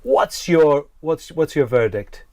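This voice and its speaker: A man's voice: a little high, sing-song, slow pace, a little mellow, no room sound, clean studio sound. A man hosting a discussion, asking questions in a hesitant, agreeable way with many restarts. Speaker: host. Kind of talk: interview questions, casual.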